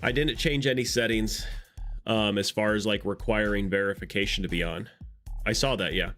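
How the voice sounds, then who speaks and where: Congested low-voiced man streaming live. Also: normal speed, a bit bright, faint music behind. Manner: chatty, greets viewers by name, rambling asides. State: congested nose. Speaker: live streamer greeting chat. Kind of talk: casual live stream chat.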